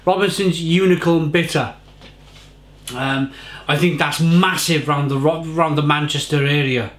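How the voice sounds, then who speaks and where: A man's voice: on the high side, fairly even intonation, unhurried, a bit bright, echoey room. A man, casually reviewing a beer at home and often repeating his words. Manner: chatty, repeats words, gestures with hands. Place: home kitchen, indoors. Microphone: fixed camera at desk.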